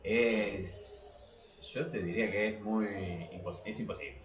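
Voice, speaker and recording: A man with an Argentine Spanish accent in a casual podcast discussion, speaking haltingly and repeating words as he thinks aloud. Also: on the low side, steady tone, crowd noise. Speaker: podcast co-host. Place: small cramped room below a staircase. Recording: roomy echo of a small room.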